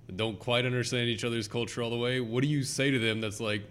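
A man co-hosting a casual sports podcast, asking questions in an animated, joking way and acting out quotes. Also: medium pitch, quick pace, smooth. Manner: animated, jokey, acts out quotes. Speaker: podcast co-host.

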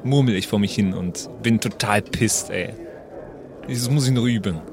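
A man's voice: lowish voice, normal speed, noisy background. A man playing a tabletop role-playing game, talking casually as he improvises his character's actions.

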